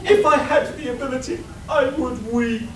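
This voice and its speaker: A distant, echoey live radio-play recording with faint noise. A woman plays a pretentious, overwrought constructed fashion lover in a high voice, melodramatic and gushing. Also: normal speed, lightly acted. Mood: anguished awe. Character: overwrought constructed fashion aesthete, pompous and despairing.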